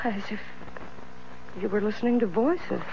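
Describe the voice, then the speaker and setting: An actress playing a playful, watchful wife in a 1970s radio drama, teasing and probing. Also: female voice, mid-range voice, normal speed, creaky, a little mellow, slight background noise, close to an everyday voice. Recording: dull 1970s radio broadcast.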